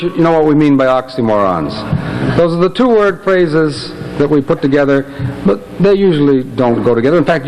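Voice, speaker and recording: An American man emceeing a barbershop quartet show, delivering teasing comic patter with drawn-out setups and pauses. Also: a little high. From Illinois, United States. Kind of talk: comic stage patter between songs. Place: live stage show before a large audience. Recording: noisy live stage recording with loud crowd. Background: loud audience noise.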